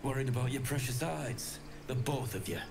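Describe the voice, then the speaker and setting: An actor playing a brash, surly adventurer in a fantasy video game, combative and sarcastic. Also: male voice, mid-range voice, expressive, normal speed, creaky, background noise. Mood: scornful. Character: surly, defiant male adventurer, ungrateful and brash. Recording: game music behind the voice.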